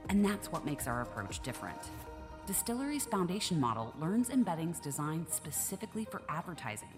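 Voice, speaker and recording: A woman reading a scripted promotional voice-over in a polished, smooth delivery. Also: sits low. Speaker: promo video narrator. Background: music bed.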